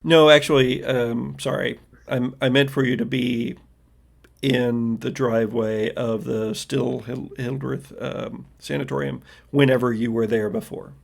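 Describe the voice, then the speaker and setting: A man narrating a tabletop role-playing game as game master, in a halting, conversational, wry way. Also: medium pitch, fairly even intonation, vocal fry.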